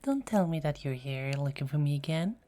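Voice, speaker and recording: A woman's voice: sits low, expressive, dark tone. A woman playing a teasing, self-assured and soothing Norse goddess in an ASMR roleplay audio drama. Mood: playful, teasing. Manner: teasing, chuckling, unhurried.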